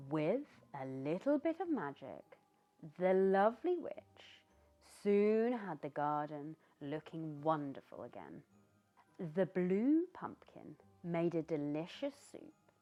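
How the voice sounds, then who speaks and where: A British woman reading a children's story aloud in an expressive, theatrical way, with asides to the young listener. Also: fairly low pitch. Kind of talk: animated read-aloud narration for young children. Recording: dull lo-fi sound with background music. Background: music.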